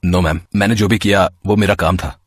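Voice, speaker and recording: A man's voice: sits low, steady tone, normal speed, slightly rough, dry recording, studio quality. An actor playing a polite, humble young man in a story, with a deferential, hesitant manner. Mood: respectful. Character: polite, humble, hesitant young male employee and dutiful son.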